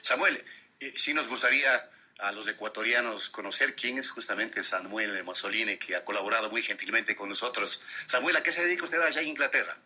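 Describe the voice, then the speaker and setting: A man's voice: on the low side, a little fast, bright, forceful tone, slightly rough. A man with an Ecuadorian accent hosting a formal radio interview, courteous and wordy.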